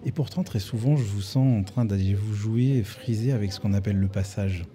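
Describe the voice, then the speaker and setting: Man, interviewer, low pitch, very dark timbre, reflective, elaborate questions. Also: fairly quick. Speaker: radio interviewer.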